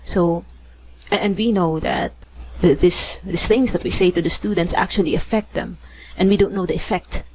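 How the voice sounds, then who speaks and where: Filipino lady teacher talking through a lesson, lowish voice, lots of restarts and "right?". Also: fairly even intonation, normal speed, a little hiss or hum, rough recording. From Philippines. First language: not English. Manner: conversational, thinks aloud with many restarts and tag questions. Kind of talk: online lecture.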